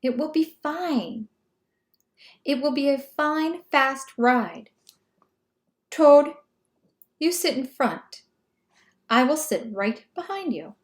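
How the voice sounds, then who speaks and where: Woman voicing an eager, high-voiced storybook frog. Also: expressive, takes it slowly, long pauses. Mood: reassuring. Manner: bright encouraging urging. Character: Frog, cheerful eager reassuring male frog friend. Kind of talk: children's storybook read-aloud.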